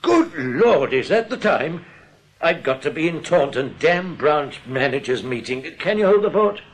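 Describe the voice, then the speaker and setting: A man's voice: fairly high pitch, big pitch swings, measured pace. An actor with an English accent playing a jocular, evasive bank manager in a radio drama, bluff and glib in his banter. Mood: brisk, teasing. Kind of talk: British radio drama acting.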